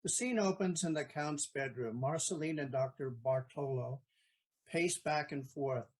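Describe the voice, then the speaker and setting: A man's voice: a little high, a little slow, rough recording. A man narrating an audio play, reading out scripted stage directions.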